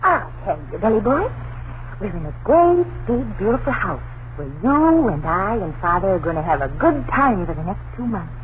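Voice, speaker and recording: An actress playing an anxious, gentle American wife and mother, earnest and emotive, in an old-time radio drama. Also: mid-range voice, big pitch swings, normal speed, close to an everyday voice. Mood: cheerful, coaxing. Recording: noisy, tinny vintage radio recording.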